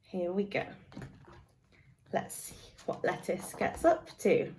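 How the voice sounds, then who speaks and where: A woman guest reader reading a picture book aloud to young children in an expressive storytelling manner. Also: fairly low pitch, slow pace, slight background noise.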